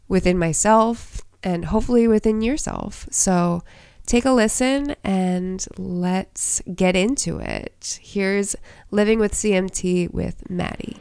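A congested woman hosts a podcast in easy, chatty, self-deprecating talk. Her voice sits a little low with a gravelly edge, and the sound is dry and close, with no room in it. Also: a little mellow, dry recording. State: stuffy, congested. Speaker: podcast host. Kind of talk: casual chat.

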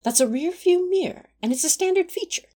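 A woman's voice: very high voice, animated, fairly quick, dark tone. A woman playing a prim, fussy male angel, genteel and mildly put-upon, in a fan audio drama. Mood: prim, defensive.

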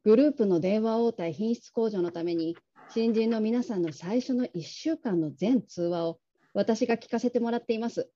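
A considerate female manager played by an actress with an extremely soft, dark tone. She speaks in a gentle, measured, courteous way, as in a radio drama. The sound is slightly muffled. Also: fairly high pitch, lightly acted. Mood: earnest, measured. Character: polite, caring, discreet female call-centre manager.

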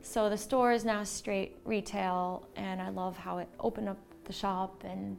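American woman with a creaky voice, chatting casually. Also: mid-range voice, flat delivery, measured pace, darkish tone. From born in Slovakia, moved to the United States at seven. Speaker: small business owner, textile designer and shopkeeper. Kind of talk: casual interview answers.

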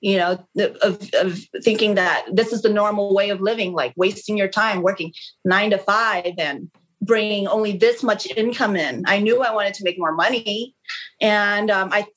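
A woman's voice: mid-range voice, moderate pace, poor audio. Frank woman with Khmer as her first language. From Long Beach, California. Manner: frank and animated, with frequent restarts. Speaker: interview guest, real estate agent. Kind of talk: casual candid conversation.

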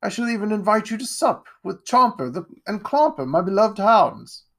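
A man's voice: high-pitched, big pitch swings, moderate pace, gravelly, a bit bright, pitched above the performer's own voice, heavily acted. A man playing a pompous, jovial nobleman. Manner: grand, theatrical, plummy delivery.

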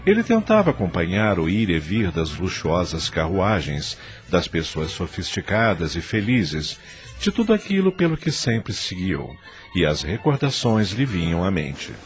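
A man's voice: deep voice, huge pitch swings, measured pace, soft background music. A man with a Brazilian accent giving dramatic scripted narration for a radio drama.

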